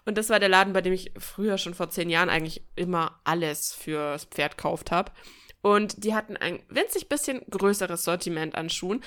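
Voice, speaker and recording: A woman telling personal stories in a casual, joking and colloquial way, as a podcast host. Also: medium pitch. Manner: chatty, colloquial, expressive and joking. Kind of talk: casual chat, personal storytelling.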